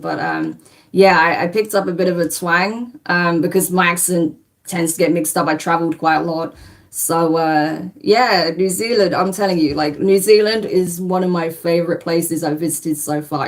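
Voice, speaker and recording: A woman giving a spiritual tarot reading on a livestream. She talks in a soothing, reassuring way and keeps asking "right?" and "okay?". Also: fairly low pitch. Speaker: tarot reader.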